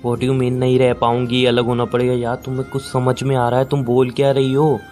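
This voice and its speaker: A man's voice: medium pitch, completely flat delivery, a little fast, a little mellow, faint music behind, close to an everyday voice. A young man playing a hurt, incredulous boyfriend in an audio call drama, pressing and pleading in an exasperated way. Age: young adult. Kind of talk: acted phone-call drama in conversational Hindi with some English.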